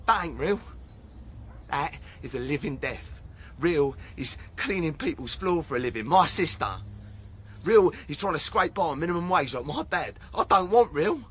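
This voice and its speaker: An actor playing a cocky, defiant young English footballer under police questioning, brash, slangy and argumentative, in a naturalistic radio drama. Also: male voice, fairly high pitch, a bit bright. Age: young adult. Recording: clearly noisy background ambience.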